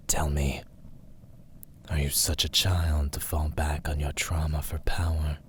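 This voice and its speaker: A man playing an arrogant, teasing villain warlord who craves control, in a theatrical ASMR roleplay, with breathy, mocking, drawn-out delivery. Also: extremely low pitch, a little hiss or hum. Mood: menacing, taunting. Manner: haughty, languid, mocking, drawn-out words.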